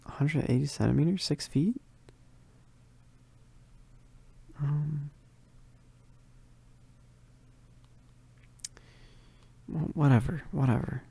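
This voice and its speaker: A performer voicing a shy, needy, affectionate young werewolf roommate in a roleplay ASMR, with soft, breathy, halting, giggly speech that often drops to whispers. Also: medium pitch. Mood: flustered. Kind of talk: roleplay ASMR, intimate one-sided dialogue.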